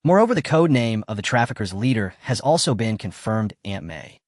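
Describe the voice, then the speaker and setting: A man's voice: lowish voice, big pitch swings, fairly quick, dry recording, clean studio sound. A man voicing an earnest young male police officer who talks seriously.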